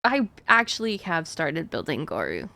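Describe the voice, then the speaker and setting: A woman's voice: low-pitched, wildly swooping pitch, moderate pace, sharp tone, dry recording. A woman streamer chatting casually while she plays, answering her chat with plenty of fillers and little laughs.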